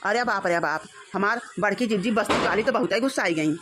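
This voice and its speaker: A woman's voice: mid-range voice, brisk, heavy background noise, close to an everyday voice. A woman with an Awadhi accent voicing a flustered, fawning village mother-in-law in a comedy cartoon, talking effusively and repetitively. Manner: effusive, repetitive, swinging to shouted orders. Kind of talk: rural family comedy cartoon.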